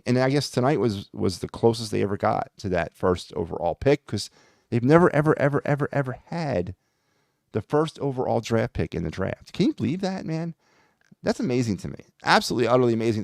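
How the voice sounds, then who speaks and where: A man's voice: mid-range voice, big pitch swings, brisk, creaky, darkish tone, dry recording, clean studio sound. A man hosting a solo sports podcast, talking in a rambling, blunt and sarcastic way with lots of fillers. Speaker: podcast host.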